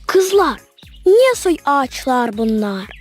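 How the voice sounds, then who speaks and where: A curious, eager little girl in a children's cartoon. She has a high voice and drawn-out pacing, with a Gagauz accent over light music. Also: big pitch swings, heavily acted.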